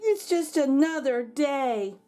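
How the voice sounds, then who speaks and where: A woman's voice: high voice, lively intonation, takes it slowly, mellow, pitched above the performer's own voice. A woman voicing an earnest, caring young boy in a children's storybook. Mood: glum.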